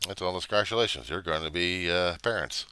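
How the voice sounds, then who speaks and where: A middle-aged American man giving a casual, rambling monologue, halting as he thinks aloud in plain words. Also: low-pitched, bright, forceful tone, clear, resonant tone, no echo. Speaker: vlogger.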